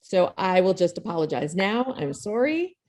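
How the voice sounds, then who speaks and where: A woman's voice: fairly low pitch, sing-song, moderate pace, darkish tone. Female host for video-call show introduction; informal, jokey, self-effacing delivery. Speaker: playwright and host of an online table read.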